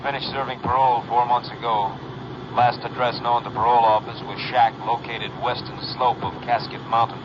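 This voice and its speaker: An actor playing a police radio dispatcher giving a clipped, formal report, in a 1950s radio drama. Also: male voice, mid-range voice, level tone, fairly quick, natural voice. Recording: dull old radio recording with hiss.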